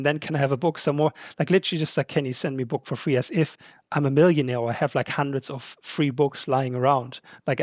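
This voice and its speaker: A man's voice: on the high side, darkish tone, no room sound, muffled recording. Chatty man, English not his first language. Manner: chatty, many "like" fillers. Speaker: podcast guest, translator and publisher. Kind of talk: casual conversation.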